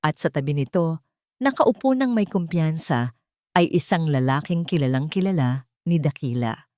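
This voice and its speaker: Low-voiced Filipino woman narrating a story. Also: animated, normal speed, mellow. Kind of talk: scripted dramatic story narration.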